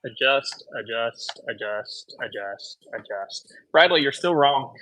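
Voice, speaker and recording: A man with a thick Tennessee accent, an optician chatting casually about eyewear, laid-back and quick to laugh. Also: mid-range voice, expressive, bright, forceful tone, slight background noise. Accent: Southern US, Tennessee. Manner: laid-back, laughs often, frank opinions. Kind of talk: casual chat.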